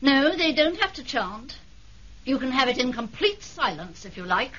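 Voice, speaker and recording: An actress playing a coldly polite aristocratic villainess in a radio drama, commanding and courteous. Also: female voice, high-pitched, sing-song, normal speed, a bit bright, breathy edge, exaggerated voice. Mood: icily polite. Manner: genteel, commanding, icily courteous. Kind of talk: 1970s radio drama acting. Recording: dull 1970s radio broadcast recording.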